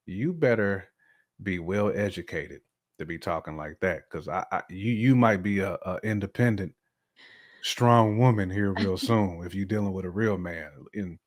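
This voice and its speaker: A man in his forties co-hosting a talk show, giving casual opinions in colloquial, halting speech that often repeats words. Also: lowish voice. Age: 40s.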